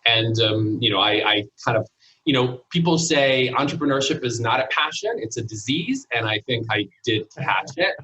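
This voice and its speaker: A man's voice: medium pitch, lively intonation, normal speed, bright, forceful tone, slightly rough, dull audio. A man from Italy whose first language is Italian, speaking English with an Italian accent as a guest in a casual interview, thoughtful and hesitant with many fillers. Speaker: guest entrepreneur.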